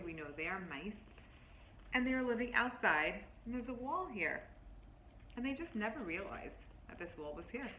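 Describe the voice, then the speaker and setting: Bright-voiced woman reading aloud in echoey room. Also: medium pitch. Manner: expressive, instructive, addressing children directly. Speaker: teacher reading a picture book to children. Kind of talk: read-aloud storytelling with explanations and questions to young listeners. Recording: roomy, echoing, faint background noise.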